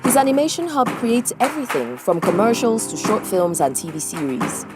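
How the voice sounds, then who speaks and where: A woman's voice: mid-range voice, very animated, a little fast. A woman reading scripted voice-over narration for a news feature. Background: guitar music.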